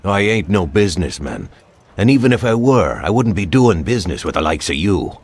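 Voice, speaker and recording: Gruff cop, low voice, blunt and wary, swings his tone a lot. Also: big pitch swings, brisk, heavily acted. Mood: stern. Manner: blunt, tough street talk. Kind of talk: video game cutscene acting.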